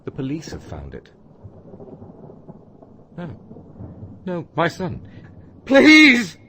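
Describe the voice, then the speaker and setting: Slow, anxious priest amid thunder. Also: male voice, on the high side, wildly swooping pitch, heavily acted. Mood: anxious, pleading. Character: gentle male priest, anxious and pleading. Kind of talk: radio drama acting. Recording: thunderstorm noise behind the voice.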